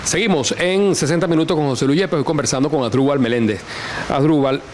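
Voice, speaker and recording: A man with a Venezuelan accent hosting a television interview, speaking in measured, formal announcer phrasing. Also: fairly high pitch, over music. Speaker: host.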